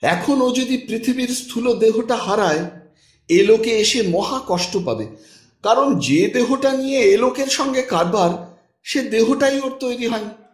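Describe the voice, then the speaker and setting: High-pitched, animated male storyteller, echoey. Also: unhurried. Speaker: audiobook narrator and storyteller. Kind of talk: expressive scripted literary narration.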